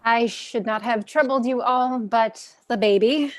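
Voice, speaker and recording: A woman playing a meek, apologetic schoolteacher husband in a halting way, in a play reading over a video call. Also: a little high, natural voice. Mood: apologetic, resigned. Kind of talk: cold read of a classic stage play.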